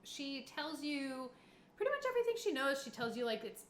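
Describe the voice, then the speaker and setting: Higher-voiced woman in an echoey room. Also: very animated, a little fast, a bit bright. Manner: encouraging, chatty, giggly, often agreeing. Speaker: game master running a tabletop roleplaying session. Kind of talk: casual improvised tabletop game narration and chat. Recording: echoey room, faint background noise.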